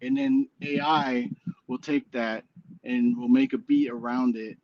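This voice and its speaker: A man's voice: medium pitch, steady tone, a little slow, highs cut off. A man in his forties, a guest on a casual chat show, talking in a rambling, hesitant way with many fillers and restarts. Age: 40s. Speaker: guest musician.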